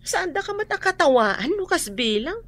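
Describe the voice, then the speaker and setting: A woman acting in an Ilocano radio drama as a chatty, nosy friend, coaxing and questioning in an expressive Ilocano-accented way. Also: very high voice, normal speed, heavily acted.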